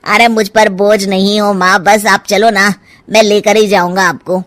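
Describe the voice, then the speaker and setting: A woman's voice: mid-range voice, level tone, a little slow, a bit bright, dry recording, natural voice. A woman voicing an insistent, self-centred grown son in a cartoon moral story.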